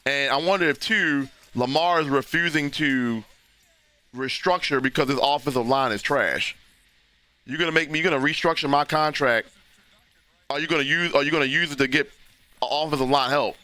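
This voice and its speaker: A man, hoarse and losing his voice, hosting a casual live football reaction stream and talking loosely with frequent restarts. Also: on the high side, normal speed, sharp tone, no echo. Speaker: livestream host reacting to a football game.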